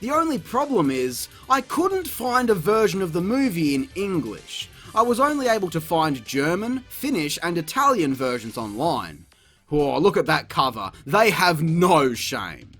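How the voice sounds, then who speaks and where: Sarcastic, animated man reviewing cartoons. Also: a little high, measured pace, faint music behind. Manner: sarcastic, profane, exasperated. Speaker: video reviewer. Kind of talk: comedic review narration.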